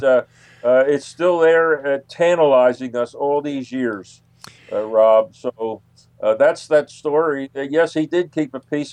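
Man, interview guest, average pitch, casual storytelling, hesitant, frequent pauses. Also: a little mellow, clear, resonant tone. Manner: halting, hesitant delivery with long pauses. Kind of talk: casual anecdotal interview talk.

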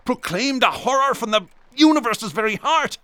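An actor playing a haunted, bitter, obsessive police detective in a radio drama, with brooding, florid, theatrical speech. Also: male voice, very high-pitched, expressive, moderate pace, bright, forceful tone, smooth, heavily acted. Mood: fervent, bitter.

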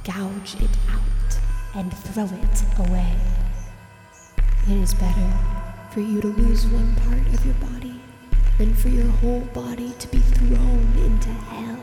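A woman's voice: a little high, flat delivery, measured pace, vocal fry. A woman playing an ominous, solemn voice that recites scripture formally in a horror audio drama. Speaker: scripture reader. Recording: echoey cavernous reverb. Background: music.

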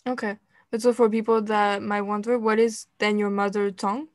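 A woman's voice: mid-range voice, little pitch movement, moderate pace, dry recording, clean studio sound. A woman hosting a casual interview podcast, asking questions and backing up her guest with frequent "mm-hmm" and "okay.". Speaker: podcast host.

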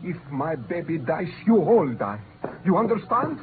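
A man's voice: on the high side, sing-song, a little slow, music in the background. An actor playing a desperate, threatening village headman in a 1950s radio drama. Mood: menacing. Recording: tinny, very noisy old radio, off-mic.

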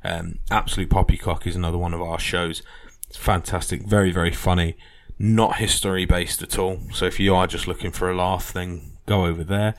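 A man's voice: deep voice, flat delivery, fairly quick, few pauses. A man hosting a history podcast, talking casually and earnestly with plenty of fillers and restarts. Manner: rambling, earnest, many fillers.

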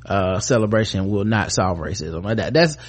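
A man's voice: lowish voice, expressive, normal speed. An American man hosting a podcast, giving casual, comedic news commentary in an irreverent, sarcastic, sweary way. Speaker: podcast host.

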